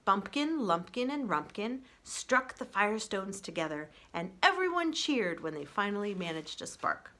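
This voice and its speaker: A woman narrates a children's storybook with expression. Her voice sits at an average pitch for a woman. She reads aloud in the manner of a children's librarian sharing a chapter book. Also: very animated, moderate pace, few pauses, pushed and bright.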